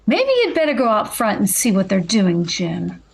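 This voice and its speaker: A woman's voice: mid-range voice, huge pitch swings, normal speed, gravelly, husky, exaggerated voice. A woman playing a concerned, affectionate housewife and mother in a radio drama. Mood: suspicious. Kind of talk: vintage radio drama acting.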